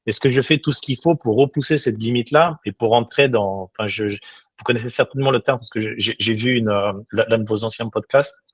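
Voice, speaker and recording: Un homme d'une quarantaine d'années, invité d'un podcast, qui parle sérieusement avec beaucoup d'hésitations et de reprises. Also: male voice, mid-range voice, fairly quick, no echo. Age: 40s. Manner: earnest, many restarts and hesitations. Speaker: guest. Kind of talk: casual interview talk.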